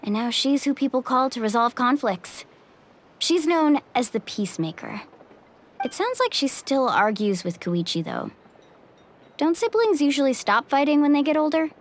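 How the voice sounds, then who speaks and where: Young woman, video game character, fairly high pitch, easygoing, confident, casual, reflective. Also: very animated, normal speed. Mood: reminiscing.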